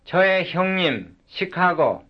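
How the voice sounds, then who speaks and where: A man reading short cue words for a language substitution drill, one at a time in a slow, measured way. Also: high-pitched, big pitch swings. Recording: dull lo-fi recording.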